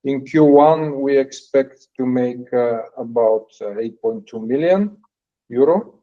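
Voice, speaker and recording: A man presenting financial results as a company executive on an earnings call, in a halting, hesitant delivery with frequent pauses. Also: mid-range voice. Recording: dull, lo-fi call audio.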